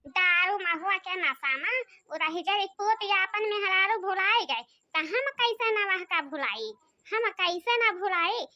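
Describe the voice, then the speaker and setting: A woman voicing a gossipy, complaining young village wife in an Awadhi cartoon comedy, with an Awadhi accent and a shrill, nagging chatter. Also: a little slow, pushed and bright, exaggerated voice. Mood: boastful. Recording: dry, muffled lo-fi.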